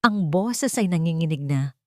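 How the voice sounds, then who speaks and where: Low-pitched Filipino woman narrating drama. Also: animated, moderate pace, no echo, studio quality. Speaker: story narrator. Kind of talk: scripted dramatic narration of a love story.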